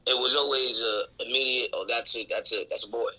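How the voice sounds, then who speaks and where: A raspy-voiced man in his twenties, a guest on a radio interview, talking openly and reflectively about his life. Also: mid-range voice, steady tone, a little fast, sharp tone, poor audio. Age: 20s. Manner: outspoken, open and reflective. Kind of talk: candid casual conversation. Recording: radio show recording.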